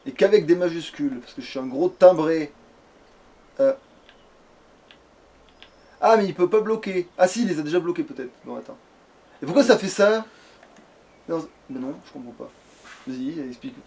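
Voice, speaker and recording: High-voiced man in casual gaming chat. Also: expressive, normal speed, long pauses, a little mellow. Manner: slangy, swearing, grumbling. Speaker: let's play host playing Skyrim.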